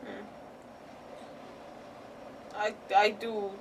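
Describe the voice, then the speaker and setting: A woman streaming a game with casual, emotional reactions, halting as she searches for words. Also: medium pitch, flat delivery, gravelly, far from the mic, a little hiss or hum. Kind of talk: casual live gameplay reaction commentary.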